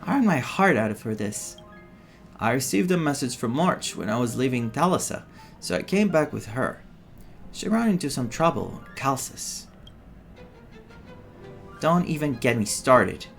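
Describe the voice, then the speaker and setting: A man streaming a story game, reading dialogue aloud and adding his own expressive reactions. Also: medium pitch, unhurried, vocal fry. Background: game music.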